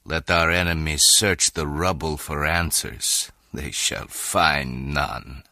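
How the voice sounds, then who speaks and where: Ruthless game villain, very deep voice, slow delivery, commanding, menacing. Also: male voice, fairly even intonation, vocal fry, pushed and bright, no room sound, clean studio sound, exaggerated voice. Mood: cold, commanding. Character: ruthless male villain crime boss with eye patch.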